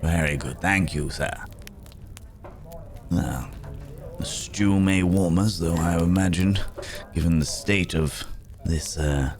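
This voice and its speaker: A man performs a world-weary gentleman werewolf with an extremely low voice. He talks in formal, genteel phrases, musing and pausing with small hums. It is an intimate ASMR fantasy roleplay addressed to a companion. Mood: polite, genial. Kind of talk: ASMR roleplay acting, spoken to the listener.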